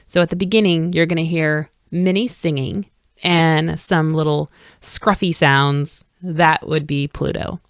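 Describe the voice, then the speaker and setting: A woman's voice: deep voice, fairly even intonation, unhurried, dry recording, clean studio sound. A woman hosting a podcast, narrating casually and enthusiastically. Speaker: podcast host.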